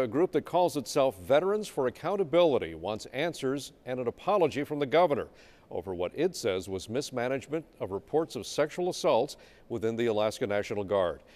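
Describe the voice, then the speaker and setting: A man's voice: medium pitch, animated, fairly quick, a little mellow. A man with an American accent anchoring a television newscast, reading scripted news.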